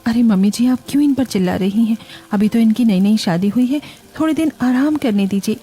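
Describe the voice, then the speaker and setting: A woman's voice: on the high side, a little fast, mellow, breathy edge. A woman with an Indian accent voicing a patient, practical eldest daughter-in-law who keeps the peace in a village family cartoon. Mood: patient, conciliatory. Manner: soothing, reassuring, practical.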